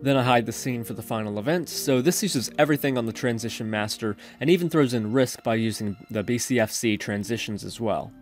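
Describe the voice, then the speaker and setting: A man with a medium-pitched voice narrates a screen-recorded tutorial. He talks through each step informally, like a chatty host explaining his own work. Music plays clearly behind his voice.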